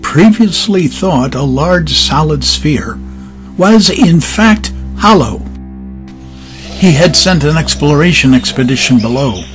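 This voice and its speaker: A man's voice: on the high side, close to an everyday voice. A man giving scripted dramatic narration as the narrator of an audio story. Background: faint music.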